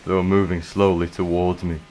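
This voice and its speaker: A man presenting a community radio show and reading a spooky story in a calm, measured way. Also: low-pitched, a little hiss or hum.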